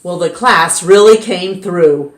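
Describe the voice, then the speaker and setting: A woman reading a children's picture book aloud as storytime host, with an expressive read-aloud manner. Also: fairly low pitch, slow pace. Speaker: storytime reader. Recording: roomy echo.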